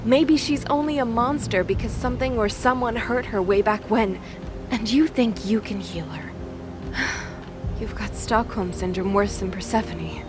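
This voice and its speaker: A woman acts as a stern young warrior goddess in an audio drama, her voice on the high side. She is blunt and resolute, with an exasperated edge. Music plays behind her. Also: unhurried, close to an everyday voice. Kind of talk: audio drama character acting.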